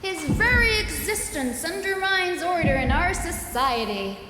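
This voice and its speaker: A woman playing a lively, teasing young scholar in a video game, chatty and theatrical. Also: extremely high pitch, a little slow. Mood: playful disgust. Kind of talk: video game character dialogue. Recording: echoey reverberant hall, loud background music.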